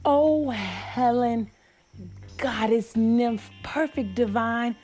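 A woman's voice: fairly high pitch, extremely slow, a little mellow, faint music behind. A woman playing a besotted, haughty young male lover in a Shakespearean verse radio play, declaiming grandly. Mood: adoring, rapturous.

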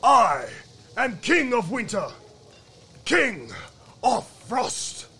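A grand frost spirit king from a fairy tale, played by a male storyteller. He snaps out his words with commanding force. The pitch is raised and swings dramatically, over faint noise. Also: sing-song, very slow, pushed and bright, exaggerated voice. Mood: imperious, probing.

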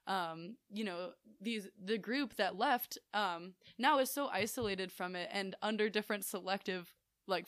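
A woman's voice: medium pitch, no echo. A young woman on a casual podcast, her mid-range voice recorded dry and close. She thinks aloud, piling up fillers and restarts. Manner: thoughtful, rambling with restarts and fillers.

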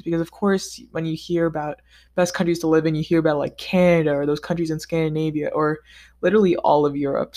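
A man's voice: on the high side, darkish tone. Upbeat chatty young-sounding man. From United States. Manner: upbeat and chatty, with casual slang. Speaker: vlogger. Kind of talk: casual scripted monologue ranking countries.

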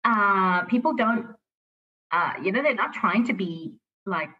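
A woman from Sri Lanka, a team manager speaking slowly and thoughtfully, pausing often on fillers in a workplace conversation. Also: mid-range voice, animated, highs cut off. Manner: slow and thoughtful, with many fillers.

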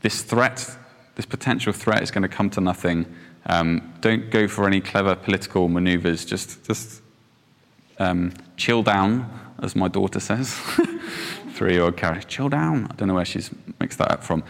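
A man's voice: sits low, moderate pace, vocal fry, in a large hall. A young man giving an informal sermon, chatty and self-deprecating, telling a school story with lots of ums. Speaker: preacher.

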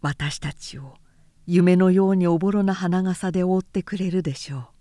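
A woman reading a literary story, voicing a gentle, solemn man who makes hushed, poetic declarations of secret love. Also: fairly low pitch, normal speed, dry recording, clean studio sound, close to an everyday voice. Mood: tender. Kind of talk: literary audiobook character acting.

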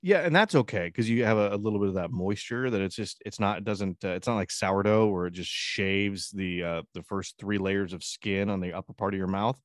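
A man's voice: on the low side, fairly quick, hardly any pauses, no room sound, clean studio sound. A man chatting casually in a profane, rambling way, often jumping in with interjections. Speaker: talk show co-host. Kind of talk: casual bantering chat.

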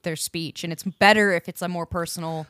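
A woman's voice: fairly low pitch, fairly even intonation, a little fast, a bit bright, dry recording, studio quality. A woman co-hosting a casual podcast, playful and swearing casually. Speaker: podcast co-host.

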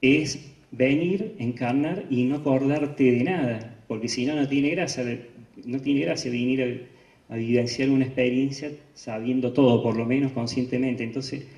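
A man with an Argentine accent giving an informal spiritual lecture over slides, halting often and restarting with fillers. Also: mid-range voice, steady tone, a little mellow. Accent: Argentine Spanish. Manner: halting, full of pauses and "eh, bueno" restarts. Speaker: spiritual teacher presenting slides. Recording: roomy, distant, dull lo-fi sound.

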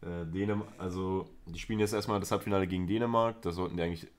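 A man's voice: low-pitched, steady tone, quick pace. A man co-hosting a podcast, chatting casually with a wry, joking manner. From Southern Europe. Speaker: podcast co-host. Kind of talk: casual chat.

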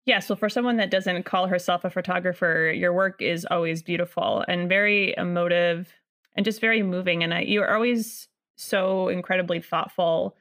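A woman's voice: lowish voice, steady tone, moderate pace, fairly bright tone. A woman hosting a podcast, reading an upbeat introduction and leading an interview. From central Massachusetts. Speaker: podcast host.

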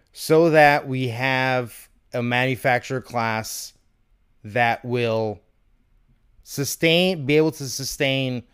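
A man in his thirties talking politics casually, animated and questioning, with some swearing, as a podcast host. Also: medium pitch, smooth, no echo, clean studio sound. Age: 30s.

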